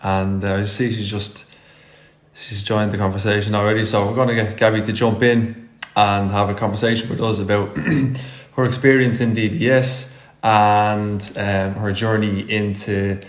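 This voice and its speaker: A man's voice: on the low side, flat delivery, moderate pace. A man with an Irish accent hosting a casual live online chat, talking in a chatty, rambling way with trailing repeats. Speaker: host.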